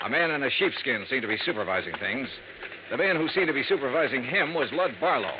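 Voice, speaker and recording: An actor playing a dry, matter-of-fact insurance investigator narrating a 1950s radio drama. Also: male voice, medium pitch, lively intonation, quick pace, background noise, close to an everyday voice. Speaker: lead and narrator. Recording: dull old radio broadcast with music.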